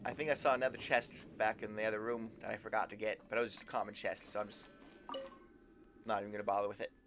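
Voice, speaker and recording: Low-voiced, hesitant male game streamer. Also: level tone, fast talker, noisy background. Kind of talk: casual live gameplay commentary.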